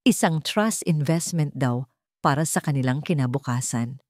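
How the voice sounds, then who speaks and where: A woman's voice: sits low, normal speed, darkish tone, dry recording, studio quality. A woman with a Filipino accent narrating a scripted dramatic story.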